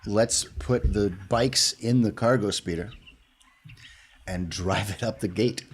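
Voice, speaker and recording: A man's voice: on the low side, slow pace, breathy edge. A man talking casually as a player in a tabletop role-playing game.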